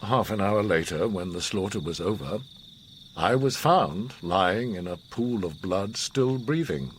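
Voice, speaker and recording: Low-voiced, grave older war survivor. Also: male voice, animated, slight background noise. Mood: sombre recollection. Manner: measured, solemn, philosophical pronouncing. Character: grave, commanding older man, enigmatic haunted war survivor. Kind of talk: radio drama monologue and dialogue.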